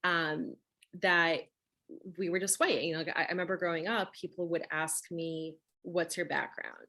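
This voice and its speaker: A woman's voice: fairly low pitch, little pitch movement, moderate pace, bright, forceful tone. A woman who grew up speaking Russian, hosting a conversational interview and talking emphatically, often checking with "right?". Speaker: host.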